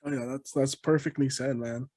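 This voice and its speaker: Laid-back young man host, warm dark voice. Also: medium pitch, fairly even intonation, normal speed, no echo, studio quality. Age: 20s. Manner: laid-back, agreeable, rambling restarts. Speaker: podcast host. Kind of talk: casual interview chat.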